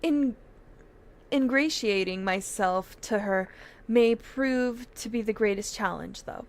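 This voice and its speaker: A woman's voice: medium pitch, slow pace. Woman reading a formal, scheming game adventurer. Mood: scheming, polite.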